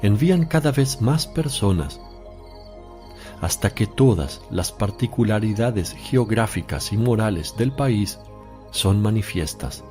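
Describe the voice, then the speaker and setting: Slow, solemn Jesus played by a man. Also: on the low side, animated, dark tone, faint music behind. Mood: solemn, declamatory. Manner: solemn, deliberate, measured. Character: Jesus, calm authoritative teacher addressing disciples. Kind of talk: solemn religious radio drama acting.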